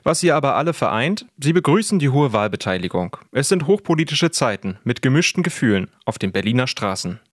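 A man's voice: medium pitch, very animated, fairly quick, hardly any pauses, no room sound, clean studio sound. A man narrating a scripted radio street report as a reporter.

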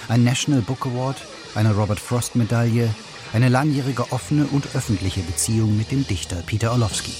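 A man narrating a scripted radio portrait in a measured storytelling way. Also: mid-range voice. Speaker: radio reporter. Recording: radio feature with music bed.

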